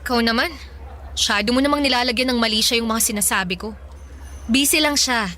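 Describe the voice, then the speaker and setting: A woman's voice: on the high side, a little fast, sharp tone. A woman playing a lovelorn, clingy and defensive young woman in a radio romance drama.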